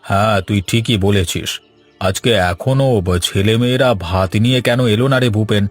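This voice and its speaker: A man's voice: on the low side, moderate pace, faint music behind, natural voice. A man voicing a resigned, weary elder brother, a village sharecropper in a cartoon, with a plain, rueful delivery. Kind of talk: cartoon voice acting.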